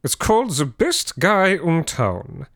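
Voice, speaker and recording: A man's voice: on the high side, wildly swooping pitch, slow, a bit bright, dry recording, studio quality, pitched above the performer's own voice, full character voice. A man voicing an eccentric rambling host character who mixes in German exclamations. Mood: excited.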